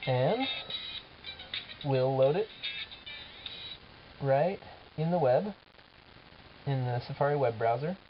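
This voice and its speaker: A man's voice: medium pitch, animated, slow, pauses often. Man in casual demo, dull lo-fi sound. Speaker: app developer presenting a demo. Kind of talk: informal software demo narration. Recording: dull lo-fi camera audio, music behind.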